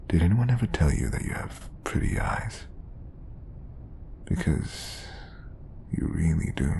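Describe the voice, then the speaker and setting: A man's voice: darkish tone, a little hiss or hum, full character voice. A man playing an obsessive, lovestruck bodyguard in an audio roleplay, coaxing and intimate, passing devotion off as professionalism. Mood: infatuated. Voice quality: low, creaky. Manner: coaxing, intimate, slipping into whispers. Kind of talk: audio roleplay acting addressed to the listener.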